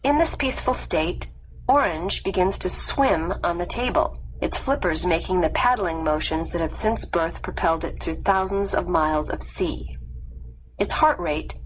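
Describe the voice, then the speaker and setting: A woman narrating a scripted nonfiction story in a measured, clearly read delivery. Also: lowish voice, slight background noise, poor audio. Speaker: audiobook narrator.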